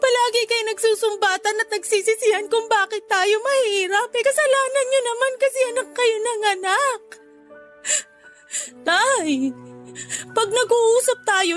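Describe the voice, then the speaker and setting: Radio drama daughter, played by a woman: young, extremely high voice, weary, hurt, tearful pleading, faint music underneath. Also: fairly bright tone. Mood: hurt, exasperated. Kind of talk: Filipino radio drama acting.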